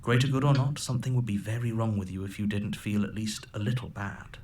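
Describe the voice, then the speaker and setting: An actor playing a decent, earnest inner voice of a game hero who talks in a reasonable, conspiratorial way. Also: male voice, fairly low pitch, brisk, a little mellow, close to an everyday voice. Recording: game audio with background noise.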